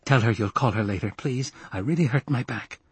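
A man's voice: mid-range voice, brisk, no room sound. A man voicing a melodramatic wife who pleads and wails in pain. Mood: pleading. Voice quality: wailing, breathy moans. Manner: pleading, crying out.

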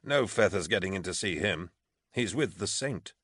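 A gruff male commissar as a narrator plays him, deep-voiced and authoritative. His manner is dry and sardonic, that of an audiobook army officer, in a close, dry recording. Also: expressive, normal speed, fairly bright tone, dry recording, heavily acted. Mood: dry, gentle. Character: gruff, wry, commanding male military commissar. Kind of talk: audiobook character acting.

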